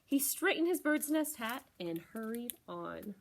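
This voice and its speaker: A woman's voice: a little high, unhurried, a little hiss or hum. A woman in her thirties reading a children's storybook aloud expressively as a library storytime presenter. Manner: expressive, addressing young children. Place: small library corner, indoors.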